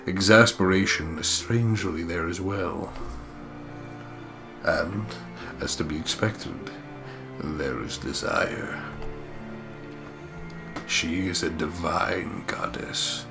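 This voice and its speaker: Man reading as a low-voiced weary husband, brooding. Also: takes it slowly, creaky, pauses often, music in the background, exaggerated voice. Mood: torn, wry. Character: weary, exasperated married businessman, inner monologue. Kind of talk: dramatic visual novel reading.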